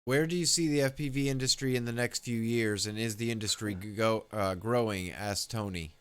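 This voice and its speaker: A man's voice: fairly low pitch, brisk, clear, resonant tone. A man hosting a casual livestream interview, relaying questions from viewers in an informal conversational way.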